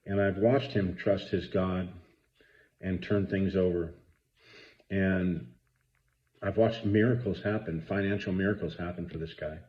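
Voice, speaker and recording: A man's voice: low-pitched, fairly even intonation, unhurried, long pauses, darkish tone, dull audio. A man, talking earnestly and rambling with many fillers in a casual spoken reflection. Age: 50s. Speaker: vlogger.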